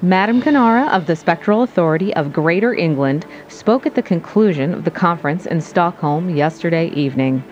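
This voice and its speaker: A woman plays a composed local news anchor. Her voice is on the low side and brisk, with dulled broadcast sound and clear music behind it. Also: expressive, darkish tone, highs cut off, lightly acted. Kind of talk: news reading.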